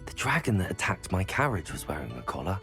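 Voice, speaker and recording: An actor voicing a polite, brave, curious teenage student wizard in a video game, measured and helpful. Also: male voice, sits low, big pitch swings, bright, forceful tone, heavily acted. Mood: serious, determined. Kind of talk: video game dialogue acting. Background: music.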